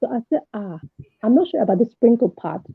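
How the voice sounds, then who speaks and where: A woman's voice: a little high, expressive, brisk, vocal fry, slightly rough, no echo. A woman calling in to a talk show, telling a personal story in a casual, conversational way and re-enacting dialogue. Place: remote call into an online show. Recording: muffled, dry call audio.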